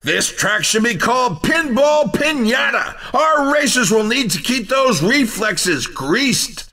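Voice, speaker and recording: An actor playing an excitable, upbeat male race commentator in a video game, with showy, hyped announcer delivery. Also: very high voice, slow pace, distant mic, exaggerated voice. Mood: excited, teasing.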